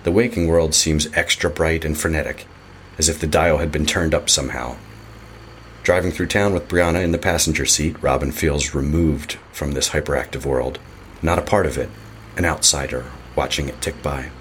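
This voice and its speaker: A man's voice: rumbling low, steady tone, moderate pace, fairly bright tone, a little hiss or hum. A man giving scripted narration as the narrator of a horror audio drama.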